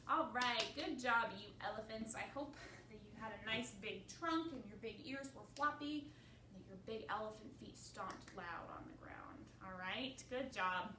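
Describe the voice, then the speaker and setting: A woman's voice: fairly high pitch, animated, normal speed, bright, forceful tone. A woman leading a children's storytime as librarian, giving simple encouraging instructions and putting questions to young listeners. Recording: roomy, distant mic, faint noise.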